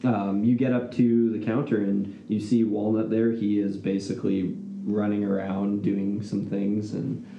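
A man's voice: fairly low pitch, flat delivery, a little slow, dark tone, muffled recording. A man acting as game master, narrating casually and loosely as he improvises, with hesitations. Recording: roomy recording with faint noise.

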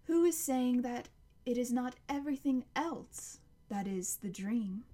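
A woman's voice: fairly high pitch, animated, warm tone. A woman voicing an ancient, towering tree monster in a storybook, proud and menacing, speaking in a grand, declamatory way. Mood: ominous. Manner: grand, slow, declamatory, building to a roar.

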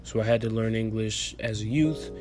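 A young man in his twenties, a native Spanish speaker with a faint Dominican accent, hosts a solo podcast in an earnest, motivational way. He rambles, restarts sentences and drops fillers as he goes. Clear music plays under his voice. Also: lowish voice, normal speed. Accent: light Dominican Spanish. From Dominican Republic. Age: 20s. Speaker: podcast host. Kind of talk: casual solo podcast monologue.

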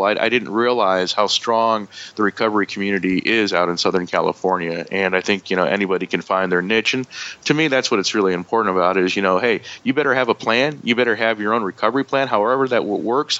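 A man's voice: on the low side, fast, pushed and bright, lo-fi sound. A man from Michigan with a northern US accent, a guest speaking candidly about his recovery. He talks reflectively and uses a lot of "you know" fillers.

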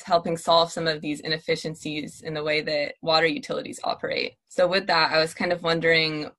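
A woman interviewing a guest on a podcast, asking her questions in a flat way. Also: deep voice.

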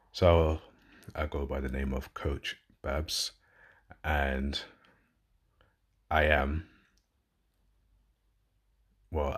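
A man's voice: a bass voice, husky. A man in his thirties with a London accent, hosting a podcast and talking casually about his life, rambling and correcting himself. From London, England. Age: 30s. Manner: rambling, self-correcting, frequent fillers. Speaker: podcast host and fitness coach. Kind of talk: casual personal monologue.

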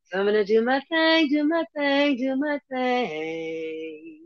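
Slow, high-voiced woman on dull audio. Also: expressive, no room sound. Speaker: guest storyteller and poet.

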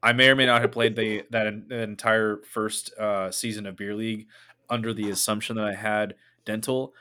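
A man's voice: lowish voice, level tone, normal speed, bright, forceful tone, clean tone. A man, hosting a casual sports podcast in a joking, self-mocking, rambling way. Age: 30s. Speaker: podcast host.

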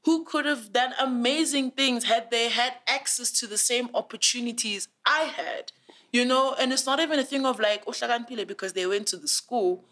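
Brief: South African woman in her twenties, a little high-pitched, earnest casual guest, animated storyteller. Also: normal speed. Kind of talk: casual conversational interview.

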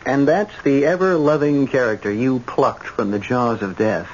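An actor playing a courteous doctor in an old-time radio drama. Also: male voice, mid-range voice, normal speed, a little hiss or hum, lightly acted. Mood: polite, wry. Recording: muffled old radio recording.

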